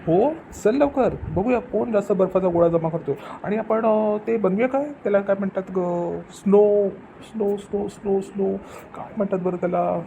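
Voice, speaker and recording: A man's voice: high-pitched, moderate pace, few pauses, dark tone, rough recording. A man playing a cheerful, devoted father in a cartoon family comedy, speaking in an affectionate, earnest way. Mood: playful. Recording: clear background noise, ambience.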